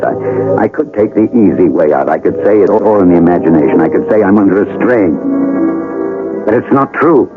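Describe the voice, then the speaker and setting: Agitated gentleman chemist, swooping pitch. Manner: stammering, excitable, insistent. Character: agitated, insistent gentleman chemist haunted by a vision. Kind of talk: 1970s radio drama acting. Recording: muffled vintage radio recording, music behind.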